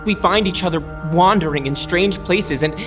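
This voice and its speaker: Man as haunted tormented young guy, stammering, higher voice, soft music behind. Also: close to an everyday voice. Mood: anguished. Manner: halting, pleading, bursts of anger. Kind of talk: audio drama acting.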